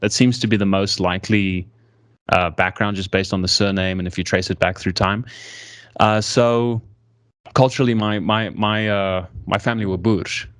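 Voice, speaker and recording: A man's voice: on the low side, steady tone, dry recording, studio quality. A man from South Africa whose speech mixes American and South African accents, thinking aloud as a guest in a conversational interview with frequent restarts. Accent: mixed American and South African English. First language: American English. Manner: hesitant, halting, with many restarts.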